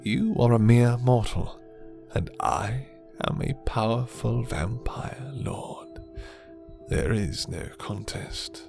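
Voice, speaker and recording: A man voicing a suave, menacing, condescending vampire lord in an ASMR roleplay, courtly and theatrical, with deliberate delivery. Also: medium pitch, vocal fry. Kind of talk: ASMR roleplay character acting. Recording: music behind the voice.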